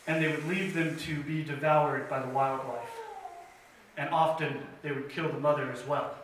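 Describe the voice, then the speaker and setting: A man telling a missionary's life story at a church story time, in an earnest, measured way with pauses and small fillers. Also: on the high side, fairly even intonation, slight background noise. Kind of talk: children's story time retelling. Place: church sanctuary. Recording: very echoey church hall from a distance.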